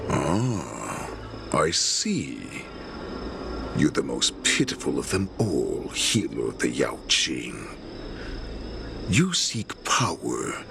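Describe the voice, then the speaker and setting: An actor playing an ancient, sly, captive wolfish warlord in a game, speaking with slow theatrical menace and breathy drops toward a whisper. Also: male voice, extremely low pitch, exaggerated voice. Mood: mocking, probing. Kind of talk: dramatic game cutscene monologue.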